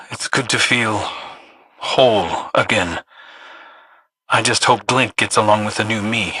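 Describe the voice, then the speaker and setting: A man's voice: on the low side, takes it slowly, long pauses, sharp tone, slightly rough, no room sound, exaggerated voice. An actor playing an easygoing, wry man in a video game, speaking casually. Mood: relieved. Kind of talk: game voice acting.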